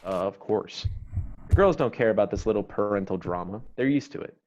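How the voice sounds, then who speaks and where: A man's voice: on the low side, animated, brisk, darkish tone. A man narrating stage directions from a screenplay in a scripted read. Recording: dull, noisy online recording with clicks.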